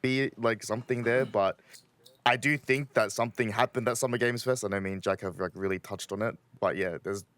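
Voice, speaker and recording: A man's voice: lowish voice, fairly even intonation, fairly quick, fairly bright tone. A man who is a podcast regular, chatting casually in an informal, slangy way. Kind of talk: casual chat.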